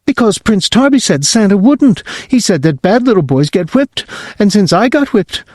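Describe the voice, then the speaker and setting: A man's voice: high voice, very animated, normal speed, darkish tone, dry recording, studio quality, voice pushed up. A man voicing a poor, meek little boy who speaks in a pleading, childlike way. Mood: earnest.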